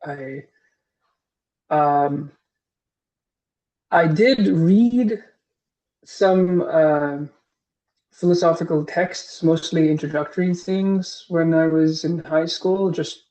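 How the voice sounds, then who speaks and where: Hesitant man, fairly high voice. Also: slow, long pauses, a little mellow, rough recording. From Singapore. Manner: hesitant, many fillers and restarts. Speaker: philosophy professor, guest. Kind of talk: casual interview answers.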